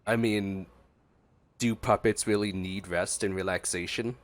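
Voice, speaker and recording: A man's voice: sits low, steady tone, measured pace. A man with a congested voice from a cold, giving casual, joking reaction commentary as he streams a game. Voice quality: stuffy, congested. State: getting over a cold.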